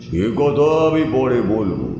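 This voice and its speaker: Eerie aghori tantric spirit, played by a man: deep, drawn-out and commanding, in a long hall reverb over music. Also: very animated, dark tone, dull audio. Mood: taunting. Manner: slow, coaxing, commanding. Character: menacing aghori tantric spirit, male, cremation-ground dweller. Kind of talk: audio drama acting. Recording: heavy echo, long reverb, music under.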